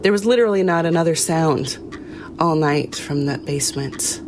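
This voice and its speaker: A woman playing a haunted, compassionate small-town woman, telling her horror story in a somber, measured audio drama narration with hesitant pauses. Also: low voice, background noise, close to an everyday voice. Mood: hushed, uneasy. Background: music under the voice.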